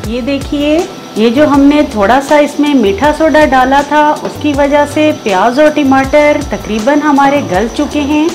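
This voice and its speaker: A woman's voice: high voice, unhurried, few pauses. A woman with a Pakistani Urdu accent hosting a cooking show, giving patient step-by-step recipe instructions with enthusiasm for the dish. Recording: voice-over with faint background music.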